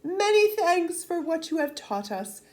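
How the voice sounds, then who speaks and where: Woman reading as awed peasant villagers, lifted high. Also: very animated, a little slow, exaggerated voice. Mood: grateful. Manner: exclamatory, earnest. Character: awed, gullible peasant villagers full of gratitude. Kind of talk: children's storybook read-aloud.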